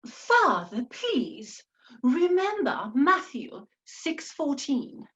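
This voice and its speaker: An earnest, pious daughter acted by a woman in a comic radio play. Her very high voice swoops dramatically as she insists, slowly and deliberately. The recording is dull, with music underneath. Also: very animated, fairly bright tone, exaggerated voice. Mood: pleading, dramatic.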